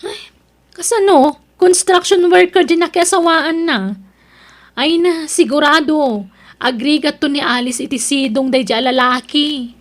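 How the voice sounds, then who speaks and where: A woman's voice: sits high, unhurried. A woman playing a boastful, gossipy young woman in an audio drama, haughty and belittling, with an Ilocano accent. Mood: snobbish. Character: boastful, gossipy young woman proud of her professional boyfriend.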